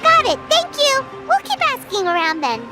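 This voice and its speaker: A woman voicing a tiny, excitable floating fairy companion who talks about herself in the third person, in game voice acting over loud music. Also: extremely high pitch, normal speed. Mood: eager.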